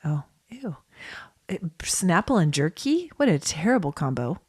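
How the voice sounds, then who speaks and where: A woman in her forties co-hosting a podcast, telling stories in casual, animated, self-mocking banter. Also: lowish voice, measured pace, slightly rough, no room sound, clean studio sound. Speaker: podcast co-host.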